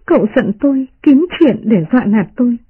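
A proud, hot-tempered young maid, voiced by a woman reader, cries out in a high, swooping voice. Her rough, husky tone comes through a muffled, phone-thin radio broadcast. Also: animated, fairly quick. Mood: tearful, indignant.

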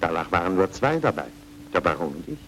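An actor playing a smooth, evasive man feigning concern, theatrical and questioning, in a dubbed film noir. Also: low voice, very animated, fast talker, fairly bright tone, a little hiss or hum. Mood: evasive, probing.

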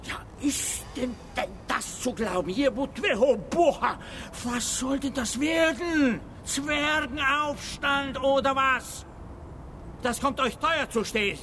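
A man's voice: very high voice, takes it slowly, pushed and bright, full character voice. An actor playing a coarse, bullying forestry foreman who barks orders in colloquial speech in a radio drama. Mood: angry, bullying. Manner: blustering, barking orders, colloquial, stammers when outraged. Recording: vehicle and engine noise behind.

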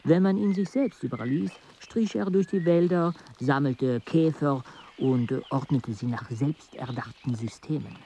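A man narrating a radio play in a measured, even storytelling manner. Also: on the high side, slight background noise. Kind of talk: scripted narration.

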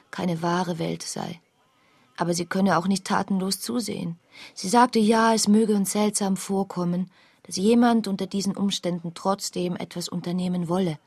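Radio drama: a quiet, matter-of-fact mother of unshakeable certainty, breathy voice, close dry studio. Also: lowish voice, dry recording. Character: calm, unshakeably certain mother who harmed her child. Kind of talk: radio play acting, partly reported speech.

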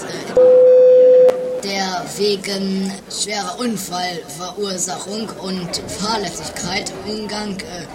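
A man acting a pompous judge in an improvised radio comedy, formal but halting. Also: high voice, rough recording. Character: pompous, halting male judge giving a verdict. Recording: loud music and crowd behind voice.

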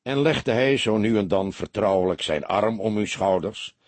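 Calm, authoritative male investigator for a crime audiobook a man acts him out, deliberate, expressive, slightly rough-voiced. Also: on the low side, no echo. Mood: slow, knowing. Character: calm, shrewd veteran male police detective.

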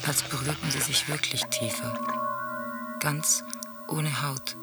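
An actor in a radio drama playing a detached teller of bleak, intimate scenes, with measured, solemn phrasing. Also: medium pitch, fairly even intonation, creaky. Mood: solemn, intense. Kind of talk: literary radio drama monologue. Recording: loud music under the voice. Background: music.

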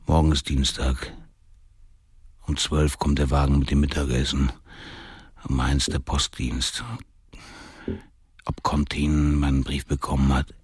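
A bitter, middle-aged convict thinks aloud in a radio play. His voice is extremely low and badly husky, with a slow, crude, weary delivery in a dry, close recording. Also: flat delivery, no echo, exaggerated voice. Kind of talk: radio drama inner monologue.

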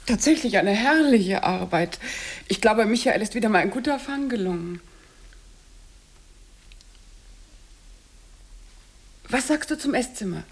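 A woman's voice: mid-range voice, expressive, normal speed, lightly acted. A woman playing a gushing, patronizing wife in a radio play, sugary and earnestly insistent.

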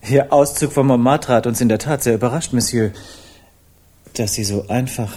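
A man plays a polite Parisian man of the arts in a radio play. His tone is dark and relaxed. He speaks formally and deliberately, in a matter-of-fact manner. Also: mid-range voice, slightly rough, lightly acted. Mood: polite recounting. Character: polite, measured Parisian artist recounting a friend's past. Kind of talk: German radio play acting.

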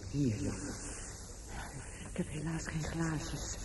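A man's voice: a little high, animated, slow pace. An actor playing a caring, anxious man in a radio play, speaking in a soothing, hesitant way. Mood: soothing, uneasy. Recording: noisy radio recording with ambience.

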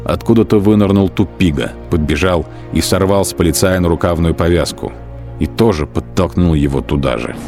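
A man's voice: low voice, normal speed, a little mellow. A man narrating a literary radio production in a dramatic, scripted storytelling style. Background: faint music.

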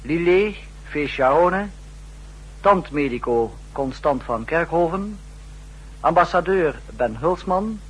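Male announcer, fairly high, husky, very slow, formal 1960s broadcast style. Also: expressive, poor audio. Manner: formal, deliberate. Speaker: radio announcer.

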